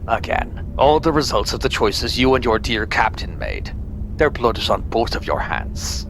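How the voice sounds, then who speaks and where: An actor playing a cold, bigoted, tyrannical space admiral in a sci-fi audio drama, condescending and domineering. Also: male voice, normal speed, vocal fry, low-quality recording. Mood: cold menace. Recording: steady background rumble.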